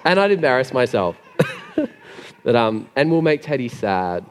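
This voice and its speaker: Gravelly man preaching in a hall. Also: mid-range voice, big pitch swings, moderate pace, slight background noise. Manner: chatty, joking asides. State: hoarse after being sick. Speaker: pastor. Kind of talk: sermon. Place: church hall. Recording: long hall reverb.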